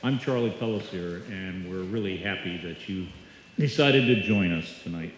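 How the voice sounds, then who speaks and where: A low-pitched man speaks as a stage host in a reverberant hall. His delivery is droll and deliberate, like a live emcee introducing a show and spinning a tale. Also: a little hiss or hum. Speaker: host of a live old-time radio show. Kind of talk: live stage welcome and storytelling. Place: live performance in a hall before an audience. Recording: echoey hall, picked up from the stage.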